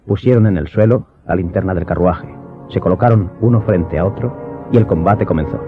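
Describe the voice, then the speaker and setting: A man's voice: sits low, a little fast. A man reading a scripted audiobook narration as the storyteller. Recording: dull lo-fi sound, faint music behind.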